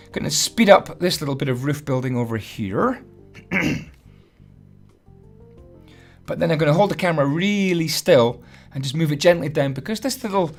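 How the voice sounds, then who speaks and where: Hoarse man, fairly high voice, chatty and self-deprecating; casual tutorial host over faint music. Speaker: Minecraft building tutorial host.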